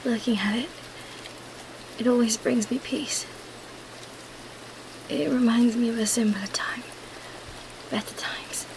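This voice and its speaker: Woman acting a cunning vampire, high-voiced and unhurried. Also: steady tone, background noise. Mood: soothing, wistful. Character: cunning female vampire feigning weakness, soothing and hypnotic. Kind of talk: ASMR roleplay.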